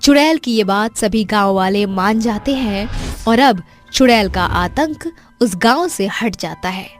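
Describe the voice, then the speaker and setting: A woman narrates a scripted tale in a storytelling style. Her voice sits at a medium pitch, with faint music playing underneath. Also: expressive, a little slow.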